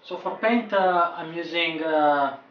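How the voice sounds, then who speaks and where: A man's voice: fairly high pitch, slow pace, distant mic, lo-fi sound. A man in his thirties, a home cockpit builder, explaining each step of a DIY job as he works. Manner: explains steps plainly as he works. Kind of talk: DIY tutorial narration. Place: indoor room at home. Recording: roomy echo, voice off-mic.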